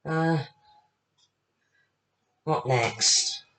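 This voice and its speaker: A woman, her voice slightly husky and worn with tiredness. She speaks slowly and unhurriedly, rambling and talking to herself between steps as she cooks at home. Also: sits low, a bit bright, a little hiss or hum. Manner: chatty, rambling, talks to herself while working. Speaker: home cook. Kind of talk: casual cooking demonstration.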